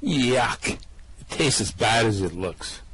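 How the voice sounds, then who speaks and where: A man's voice: mid-range voice, sing-song, slow, fairly bright tone, a little hiss or hum. A man voicing a laid-back, slightly dim male space adventurer who drawls in a slack, easygoing way, in an amateur radio play reading.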